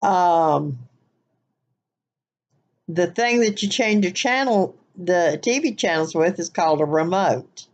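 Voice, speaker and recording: A woman's voice: fairly low pitch, lively intonation, slow, pauses often, muffled recording. An older woman with a mixed Southern and Wisconsin nasal accent, chatting casually and a bit rambly to her webcam from her living room couch. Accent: Southern US mixed with Wisconsin nasal twang. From American Midwest. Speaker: vlogger. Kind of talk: casual chat, accent tag video.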